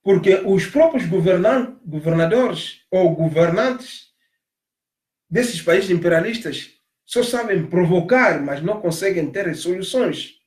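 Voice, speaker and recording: A man from Africa giving an impassioned monologue, emphatic and repetitive, stressing his points loudly. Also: high-pitched, expressive, takes it slowly, reverberant. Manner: emphatic, repeats phrases, rising to loud stresses. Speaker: commentator on migration.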